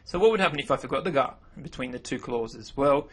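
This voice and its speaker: A man whose first language is English, explaining grammar over slides in a relaxed voice-over, often repeating himself as he goes. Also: medium pitch, quick pace, breathy edge. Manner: relaxed, self-correcting explanations. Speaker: language teacher. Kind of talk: narrated slideshow lesson.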